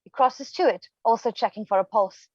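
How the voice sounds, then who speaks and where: A woman's voice: on the high side, fairly quick, a little mellow, no room sound. A woman reading stage directions as scripted narration, on a slightly dull microphone.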